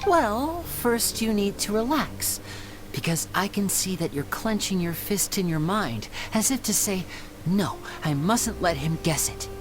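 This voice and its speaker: Brief: a charming young magician, teasing showman, lively pitch, music behind. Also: unhurried. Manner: teasing, soft-spoken showman.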